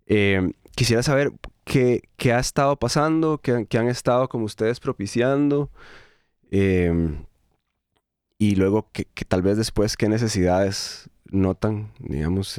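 A man's voice: lowish voice, darkish tone, no room sound, studio quality. A man moderating a panel discussion, asking searching, hesitant questions. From Costa Rica.

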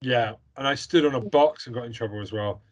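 Man, young adult, podcast co-host, rambling, opinionated, lots of fillers, medium pitch. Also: brisk, lo-fi sound. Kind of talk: casual chat reviewing a film.

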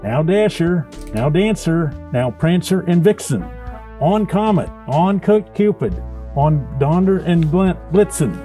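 A man playing a jolly, kindly old Santa Claus, gently teasing and grandfatherly as he entertains children. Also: fairly high pitch, animated, faint music behind. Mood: merry storytelling. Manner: chuckling, unhurried, gently teasing. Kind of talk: storybook reading and unscripted chat with children.

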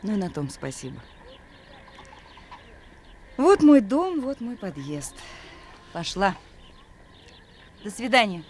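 A woman playing a wistful, self-reproaching stage actress in a radio drama, expressive and theatrical in her delivery. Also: medium pitch, slow, pauses often. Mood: rueful, wistful. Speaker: actor. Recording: noisy old radio play recording.

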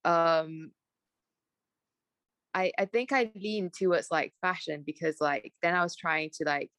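A woman's voice: lowish voice, unhurried, a bit bright, no echo. Young Malaysian woman, filler-heavy chat. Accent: Malaysian with British and American traces. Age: young adult. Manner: rambling, many "like" and "um" fillers, self-restarts. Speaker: guest, fashion graduate. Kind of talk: casual podcast interview.

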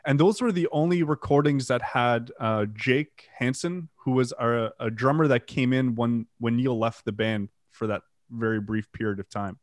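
A man's voice: medium pitch, moderate pace, hardly any pauses, no room sound, clean studio sound. French Canadian man chatting loosely. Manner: rambling with fillers, self-deprecating, swears casually. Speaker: band vocalist and guitarist. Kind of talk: casual interview, storytelling.

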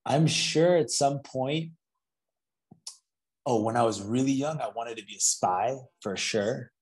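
A man in his thirties from Canada and Florida, speaking as a founder and podcast guest, in a relaxed conversational way with hesitant restarts. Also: mid-range voice, measured pace. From Canada and Florida, USA. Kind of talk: podcast interview.

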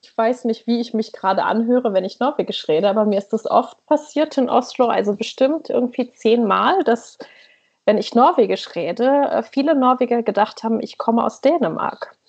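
A woman's voice: on the high side, moderate pace, vocal fry, a little mellow, breathy edge, dull audio. A woman chatting hesitantly as an interview guest over an internet call, often searching for words. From Germany. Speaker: podcast guest, emigrant blogger. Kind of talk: casual conversational interview.

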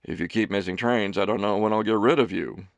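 A man's voice: lowish voice, a little fast, gravelly, no room sound. A man voicing a shy young man, nervous and stammering. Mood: flustered.

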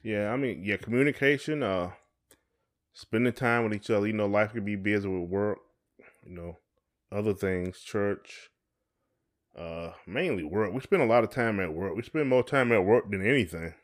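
A man's voice: fairly low pitch, normal speed. A man co-hosting a podcast in casual conversation, talking in a folksy way and often repeating his phrases. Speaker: podcast co-host.

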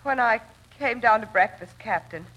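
A woman playing a distraught, genteel, tearful daughter in a 1940s radio drama, with a husky voice. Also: on the high side, normal speed, a bit bright, dull audio. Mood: shaken, tearful.

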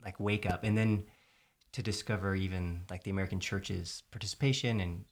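A man's voice: deep voice, fairly even intonation, a little fast. A man from South Texas, a podcast host, talking reflectively in a casual conversation and searching for his words.

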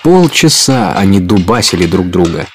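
A man narrating a Russian audio drama as the storyteller of an adventure tale, in a measured manner. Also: sits low, sing-song, very noisy. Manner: measured, clearly told storytelling.

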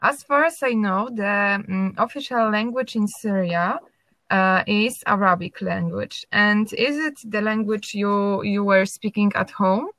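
Interviewer: woman, unhurried and hesitant, dry close sound. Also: medium pitch, a bit bright, dry recording. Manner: slow, hesitant, with pauses. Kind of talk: casual interview.

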